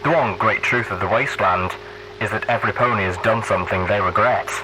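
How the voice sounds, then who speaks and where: Man acting a deep-voiced, solemn wasteland radio DJ. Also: a little fast, music in the background, close to an everyday voice, poor audio. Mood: solemn, rousing. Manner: folksy, solemn preaching. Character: earnest pony radio DJ addressing wasteland listeners as children. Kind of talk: post-apocalyptic radio monologue.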